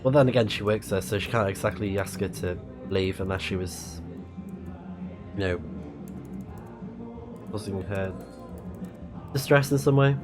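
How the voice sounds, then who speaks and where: A man's voice: lowish voice, measured pace, pauses often. A man giving casual live let's play commentary on a video game, musing in short reactions. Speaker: game streamer. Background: game music.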